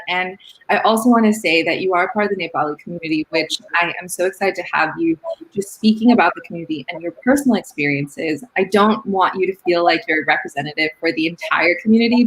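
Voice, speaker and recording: A woman's voice: on the low side, rough recording. A woman hosting a casual online interview show, upbeat and encouraging with her guest, on a video call mic. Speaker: podcast host.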